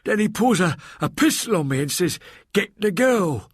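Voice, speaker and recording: A hulking servant woman, terrified and frantic, gasps out her words in rough rustic grammar, as a man puts her on. The voice sits far higher than the reader's own, slightly husky, in a dry close recording for an audiobook. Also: very animated, normal speed, no echo, full character voice. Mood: frightened. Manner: frantic, breathless, rustic grammar, calls listener sir. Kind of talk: audiobook character voice.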